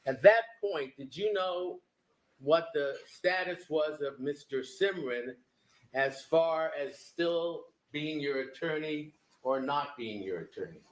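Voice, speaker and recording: A man's voice: a little high, takes it slowly. Man cross-examining in an echoey courtroom. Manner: pointed, leading questions. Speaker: defense attorney.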